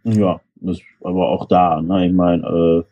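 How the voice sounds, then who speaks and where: A man's voice: deep voice, level tone, unhurried, narrow, tinny audio. A man from Stuttgart, a guest on a podcast, chatting casually and jokingly about football and laughing often.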